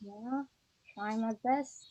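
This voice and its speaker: Young woman, Arabic speaker, slightly higher voice, friendly and giggly, talking over a video call. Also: takes it slowly, dark tone, rough recording. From Egypt. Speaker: honors student, club vice president. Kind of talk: casual video call chat.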